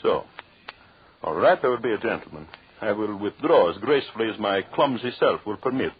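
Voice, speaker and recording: An actor playing a vengeful, coldly sinister husband with formal, mock-polite speech in an old-time radio drama. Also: male voice, fairly low pitch, expressive, a little fast, slightly rough. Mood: mock courteous. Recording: muffled, narrowband old radio broadcast.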